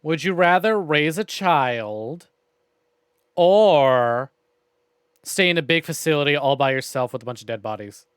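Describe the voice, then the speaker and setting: Dry, higher-pitched male game streamer. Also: expressive, unhurried, clear, resonant tone, dry recording. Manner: wry, joking, conversational. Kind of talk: live game stream, reading aloud and casual commentary. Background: faint game music.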